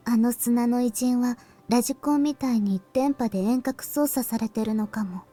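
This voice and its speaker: A grave, quiet schoolgirl from a visual novel, acted by a woman, speaks softly and seriously. Her voice is breathy and mellow, measured and hushed, over faint music. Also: fairly high pitch, natural voice. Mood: serious, deducing. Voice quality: soft, breathy. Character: grave, quiet teenage schoolgirl with a sombre streak. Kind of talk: Japanese visual novel voice acting.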